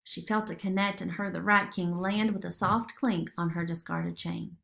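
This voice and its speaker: A woman's voice: lowish voice, steady tone, normal speed, creaky, fairly bright tone. A woman narrating a children's story expressively, as a storybook reader.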